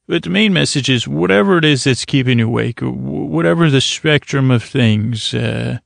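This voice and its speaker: A man with a creaky voice hosting a bedtime podcast in a rambling, halting, stuttering, self-deprecating monologue. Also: medium pitch, expressive, no echo, studio quality. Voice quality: creaky, gravelly. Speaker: podcast host.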